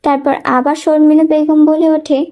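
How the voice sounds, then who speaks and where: Female story narrator, high voice, scripted dramatic storytelling. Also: little pitch movement, normal speed, dark tone.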